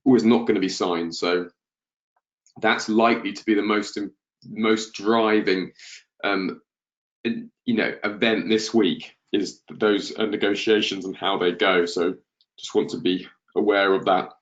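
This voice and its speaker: A low-pitched man with a blocked nose from the flu hosts a market-analysis webinar, often stumbling over his words. His voice comes through a dull, lo-fi webinar microphone. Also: steady tone, unhurried, fairly bright tone. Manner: hesitant, many ums and restarts. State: congested from flu, coughing.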